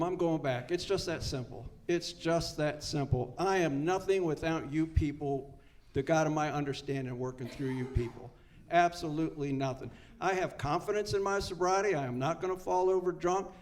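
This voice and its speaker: A man in his seventies speaks at a recovery meeting with a voice pitched a little higher than most men's. He is folksy and earnest, and he repeats his key lines so they land. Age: 70s. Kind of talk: personal story told to a live meeting.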